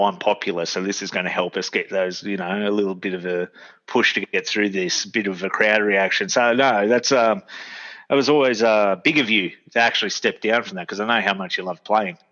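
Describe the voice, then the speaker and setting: A man's voice: lowish voice, bright, forceful tone. A young adult man, chatting in an agreeable, easygoing way and laughing often. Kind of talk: casual podcast chat. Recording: dull lo-fi mic.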